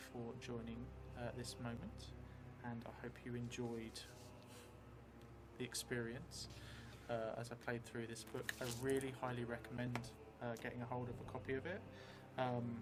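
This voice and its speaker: A man in his thirties hosting a livestreamed tabletop role-play game, speaking in a measured, reflective way. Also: medium pitch, very noisy. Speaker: solo role-play game player and stream host. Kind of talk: livestream tabletop storytelling and chat. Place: indoors, small stream set at a table. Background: music.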